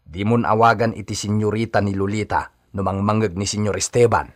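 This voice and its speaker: An actor with an Ilocano accent playing a loyal, cautious hacienda servant in a radio drama, gently chiding. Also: male voice, on the low side, steady tone, fairly quick, natural voice.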